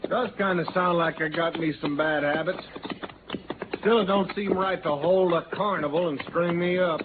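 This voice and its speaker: An actor playing a proud, stubborn Western outlaw with a folksy American rustic drawl in a 1950s radio drama. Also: male voice, high voice, normal speed, natural voice. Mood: brooding, proud. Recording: noisy, tinny old-time radio recording.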